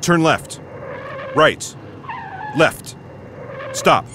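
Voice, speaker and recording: A man acting an unflappable, eccentric ex-spy in a sci-fi comedy audio drama, earnest and literal in a deadpan way. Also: fairly high pitch, extremely slow, background noise, exaggerated voice. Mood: urgent. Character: unflappable, eccentric male ex-spy fixated on odd clues.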